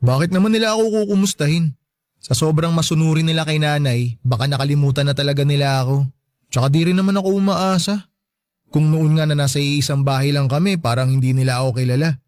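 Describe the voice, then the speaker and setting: A man's voice: a little high, fast, darkish tone, dry recording, studio quality, lightly acted. A man with a Filipino accent playing a young working man, a hurt but resilient son, talking casually in a radio drama. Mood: hurt, resigned. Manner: casual, conversational. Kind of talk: Tagalog radio drama dialogue.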